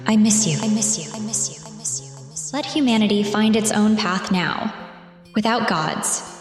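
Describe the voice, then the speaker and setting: A woman's voice: mid-range voice, normal speed, close to an everyday voice. Solemn goddess heroine, played by a woman, over music. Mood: sorrowful, resigned. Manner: steady, solemn, earnest. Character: resolute, sorrowful goddess heroine narrating her last stand. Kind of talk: dramatic first-person audio drama narration.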